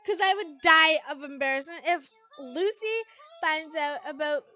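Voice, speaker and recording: A woman voicing a bashful, flustered teenage boy in a story, with halting, stammering speech. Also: sits high, bright, forceful tone, soft background music. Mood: embarrassed. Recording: muffled lo-fi home recording.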